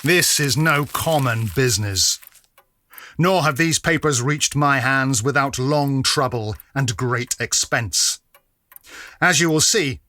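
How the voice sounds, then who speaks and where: Actor as a cold, vengeful gentleman, forceful voice. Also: mid-range voice, no echo, clean studio sound. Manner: menacing, deliberate, theatrical. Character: cold, vengeful, haggard gentleman bent on ruin. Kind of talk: audio drama acting of a Victorian story.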